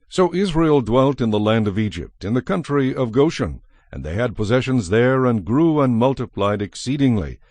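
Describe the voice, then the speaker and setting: A man narrating scripture from a script as a measured, dramatic storyteller. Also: medium pitch, darkish tone, no echo.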